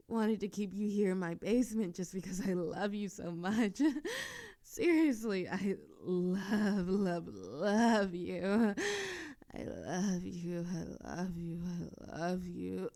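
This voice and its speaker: Woman acting a slow, giggly, lovestruck yandere. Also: medium pitch, expressive, mellow. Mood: adoring. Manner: giggly, doting, unhurried. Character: lovestruck, giggly, coaxing yandere woman kidnapper comforting captive. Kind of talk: ASMR roleplay audio acting.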